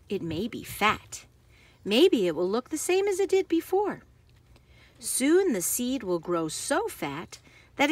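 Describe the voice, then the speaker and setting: A woman narrates a picture book for young children in a slightly husky, medium-pitched voice. Her pace is unhurried and carefully phrased, and her intonation rises and falls expressively. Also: no room sound.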